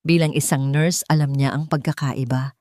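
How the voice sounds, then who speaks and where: A woman narrating a scripted audio drama with measured, dramatic delivery. Her voice is lowish and mellow, recorded close and dry. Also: fairly even intonation, no room sound.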